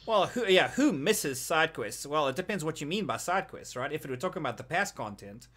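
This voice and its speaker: Male gaming podcast co-host, slightly high register, conversational, halting with repeated starts. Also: lively intonation, a bit bright. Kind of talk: casual gaming panel discussion.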